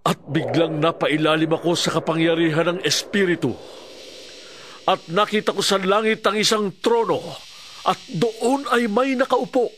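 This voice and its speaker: A Filipino man playing an awestruck visionary who narrates what he witnessed in a reverent, deliberate way, in a scripture audio drama. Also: sits high, fairly bright tone, slight background noise. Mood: awed. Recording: clear music bed behind voice.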